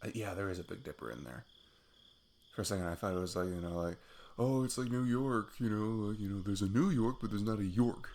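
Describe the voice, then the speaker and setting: A man's voice: on the low side, lively intonation, a little fast, a little mellow, slight background noise. A man playing a sweet, goofy best friend on a date in an ASMR roleplay, rambling playfully and poking fun at himself. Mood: playful, sheepish. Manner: rambling, playful, self-deprecating. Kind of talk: intimate ASMR roleplay, improvised casual chat.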